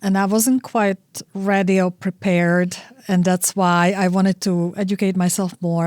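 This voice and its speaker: A middle-aged woman from the Czech Republic, a research guest answering interview questions in a thoughtful, measured way. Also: fairly low pitch, a little mellow, dry recording, clean studio sound. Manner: thoughtful, measured, with frequent "you know" fillers.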